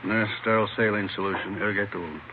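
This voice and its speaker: An actor playing a firm, clinical hospital doctor in an old radio drama. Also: male voice, fairly low pitch, fairly even intonation, lightly acted. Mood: businesslike. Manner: brisk, clinical, authoritative. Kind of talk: 1950s radio drama acting. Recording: muffled, noisy old radio broadcast.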